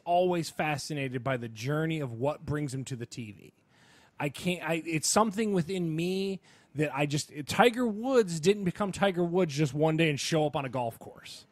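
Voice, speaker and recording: Man, slightly high voice, emphatic and rambling, casual podcast co-host, close dry sound. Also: dry recording. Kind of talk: casual sports and entertainment podcast chat.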